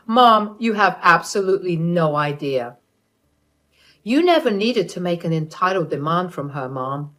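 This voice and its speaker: A woman voicing an angry young husband who firmly and loudly scolds his mother. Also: lowish voice.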